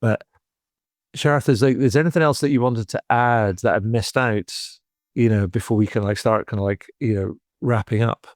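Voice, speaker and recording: A man with a lowish voice hosts a podcast, talking in long, meandering sentences full of "kind of" and "you know". The sound is dry and close. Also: normal speed, no echo. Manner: rambling, many fillers like "kind of" and "like". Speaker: podcast host. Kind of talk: casual interview talk.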